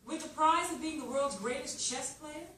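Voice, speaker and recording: A woman's voice: sits high, moderate pace. A woman playing an impatient, bossy television executive at a screenplay reading, with a sarcastic, demanding manner. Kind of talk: screenplay table read, acted dialogue. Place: live staged reading in a room. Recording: echoey room, distant mic, loud noise.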